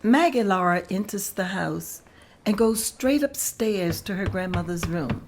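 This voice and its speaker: A woman narrating the stage directions at a table read in a plain, steady way. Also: medium pitch, a little slow.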